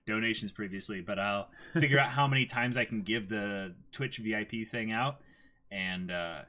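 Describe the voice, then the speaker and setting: A man's voice: on the low side, normal speed, bright, forceful tone. A man in his twenties co-hosting a livestream, chatting casually and playfully, with exclamations as he reacts and reads out comments. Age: 20s. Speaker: co-host of a livestream. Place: small indoor room, seated before a backdrop.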